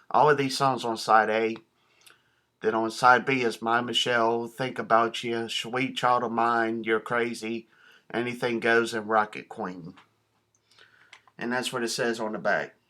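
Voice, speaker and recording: A man talks in a bright, forward voice at a middling pitch. He presents items in a casual show-and-tell vlog style. His delivery is folksy, plain-spoken and enthusiastic. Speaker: video host showing a cassette collection.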